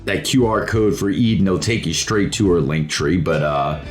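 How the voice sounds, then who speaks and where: A middle-aged American man hosting a casual interview, with a halting, rambling, folksy way of talking. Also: low voice, soft background music. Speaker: talk show host.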